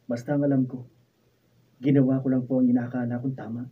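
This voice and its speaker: A man's voice: medium pitch, flat delivery, quick pace, slightly rough, lightly acted. Man acting an earnest young student, tinny distant sound. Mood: subdued, respectful. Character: earnest young male student, humble and lovelorn. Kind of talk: Filipino radio drama acting. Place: radio drama recording studio, behind-the-scenes video. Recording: lo-fi phone-like sound, distant mic, faint noise.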